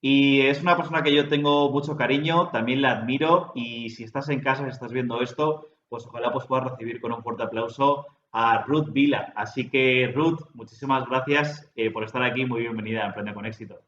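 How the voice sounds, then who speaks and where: A man's voice: mid-range voice, fairly even intonation, a little fast, hardly any pauses, a bit bright. A man hosting an interview, introducing his guest with admiration and asking about her beginnings. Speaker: host. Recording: roomy, dull, with faint background noise.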